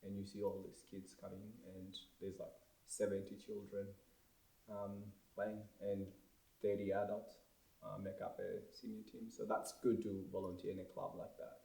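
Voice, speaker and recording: A man's voice: lowish voice, flat delivery, normal speed, vocal fry, mellow, roomy sound, recorded from a distance, low-quality recording. A man from Tanzania, a volunteer soccer coach, talking hesitantly about community in a casual interview. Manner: hesitant, repeats himself.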